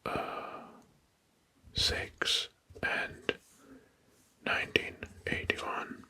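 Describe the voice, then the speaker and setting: A man narrating facts as ASMR in a hushed, breathy whisper, halting and softly repeating words. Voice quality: breathy, mostly unvoiced whisper.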